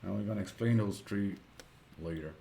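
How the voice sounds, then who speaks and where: A man with a Dutch accent, a Dutch native speaker, narrating a screen-recorded web design tutorial, thinking aloud with many pauses and fillers. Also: lowish voice, gravelly, heavy background noise.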